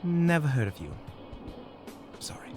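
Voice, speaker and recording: A man playing a formal, cautious hunter in an audio roleplay, earnest, measured and deliberate. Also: on the high side, very animated, darkish tone, soft background music.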